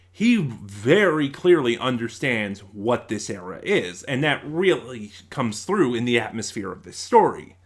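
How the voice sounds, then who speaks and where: A man's voice: medium pitch, fairly bright tone. A man reviewing in a casual, unscripted way, often rephrasing and searching for words. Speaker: YouTube reviewer.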